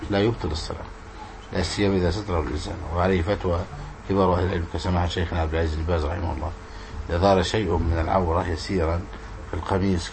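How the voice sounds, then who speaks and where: Deep male religion teacher, talks fast, repeats his points, lots of background noise. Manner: didactic, repeats words and questions. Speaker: Islamic scholar teaching hadith. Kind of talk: informal religious lesson with commentary. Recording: clearly noisy room recording.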